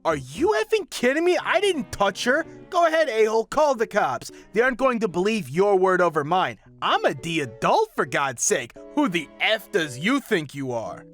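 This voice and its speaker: A man's voice: sits high, big pitch swings, moderate pace, hardly any pauses, a bit bright, soft background music, voice pushed up. A man voicing an impatient, furious businessman who rants and insults people. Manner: ranting, insulting. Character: impatient, furious businessman, red-faced and rude.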